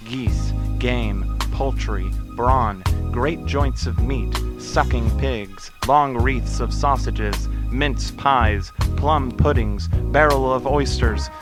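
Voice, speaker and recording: A man narrating a radio play as a storyteller, measured and descriptive. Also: mid-range voice, close to an everyday voice. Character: storyteller narrating a Christmas tale. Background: music.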